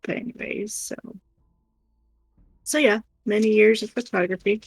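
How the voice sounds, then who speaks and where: A woman's voice: mid-range voice, steady tone, unhurried, breathy edge, no echo. A woman chatting casually and rambling in a giggly way on a livestream while doing crafts. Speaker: live stream co-host.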